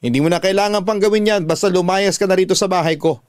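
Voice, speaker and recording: A man's voice: high-pitched, fairly even intonation, fast talker, dry recording, voice pushed up, close to an everyday voice. A man acts out a stern older Filipino father in a radio drama. He is harsh and unyielding, scolding and giving orders in a pushed-up, raised voice with a dry sound. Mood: angry, commanding. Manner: scolding, commanding.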